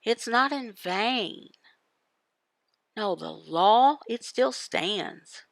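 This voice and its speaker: A woman giving a devotional Bible teaching in emphatic, halting phrases, asking questions and answering them. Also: medium pitch, lively intonation, vocal fry, dry recording, clean studio sound. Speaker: voice interpreter for a signed devotional. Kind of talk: devotional Bible teaching, voiced from sign language.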